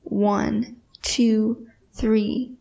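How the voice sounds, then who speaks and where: A woman guiding a meditation in a measured way with pauses, her breathy, creaky voice often trailing toward a whisper. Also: a little high, flat delivery, dark tone, far from the mic. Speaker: meditation guide. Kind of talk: guided meditation.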